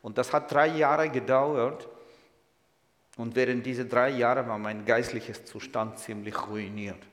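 A man's voice: medium pitch, steady tone, measured pace, a bit bright. A man, speaking German as a guest in church with humor and audience asides. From Ukraine. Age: 60s. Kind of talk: personal testimony in church. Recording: echoey hall.

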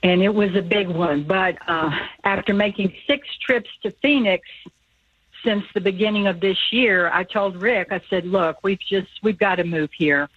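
A woman's voice: medium pitch, moderate pace, slightly rough, no room sound. An older woman calling in to a radio show, telling her story in a chatty, easy way. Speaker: caller. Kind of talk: casual phone-in chat. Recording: phone line.

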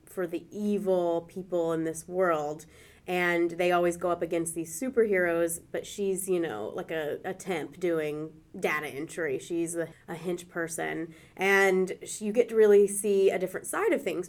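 Chatty woman talking books, lowish voice. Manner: chatty, with fillers and laughs. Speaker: podcast co-host. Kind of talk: casual book chat.

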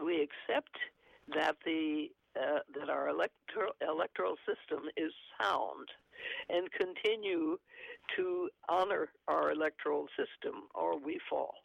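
Brief: earnest man, gravelly voice, halting delivery full of fillers, slightly high pitch, muffled phone-like audio. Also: big pitch swings, dry recording. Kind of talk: conversational commentary, reading aloud from an article.

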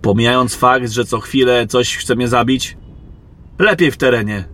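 A man's voice: medium pitch, normal speed, sharp tone, natural voice. A man voicing a young soldier in a fantasy audio drama, wry and a little grumbling. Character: wry young male soldier, reluctant and questioning.

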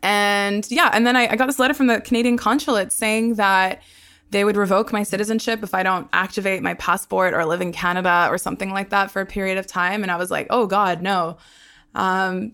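A woman's voice: mid-range voice, steady tone, fairly quick, a bit bright, smooth. A young woman who hosts a podcast, talking easily about her life and laughing often. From Australia. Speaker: podcast host. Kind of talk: conversational interview.

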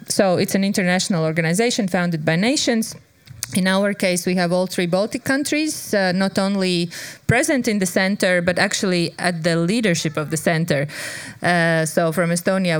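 A young adult woman from Latvia talks on a conference panel about her expertise. Her voice is smooth and clear, and the sound is dry and close. She hesitates often, filling gaps with ums and small laughs. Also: medium pitch, no echo. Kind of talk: panel discussion answers.

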